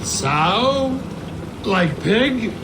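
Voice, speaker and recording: A woman's voice: mid-range voice, sing-song, drawn-out words, noisy background. A woman playing a dreamy, wonder-struck drunken reveller in a video game. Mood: curious, tipsy. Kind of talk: video game cutscene acting. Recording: clear music behind.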